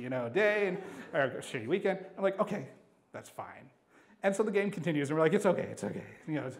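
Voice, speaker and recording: Higher-voiced man telling a rambling story. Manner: animated, rambling, acts out dialogue. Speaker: animation industry storyteller. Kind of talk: comic personal anecdote told live. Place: live storytelling event.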